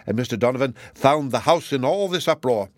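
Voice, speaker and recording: A man's voice: medium pitch, animated, fairly quick, dry recording, studio quality, natural voice. A man voicing a chatty, good-hearted older matron in an audiobook, gossiping in a rambling, exclamatory and indignant way. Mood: excited, pitying. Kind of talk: audiobook character dialogue.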